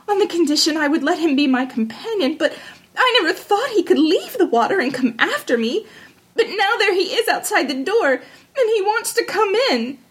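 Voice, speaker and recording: Woman playing a high-pitched, fretful young princess. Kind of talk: fairy tale storybook character voice.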